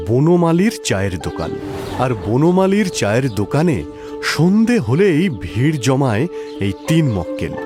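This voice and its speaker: Animated male story narrator. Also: mid-range voice. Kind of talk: dramatic scripted story narration. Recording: music clearly behind the voice.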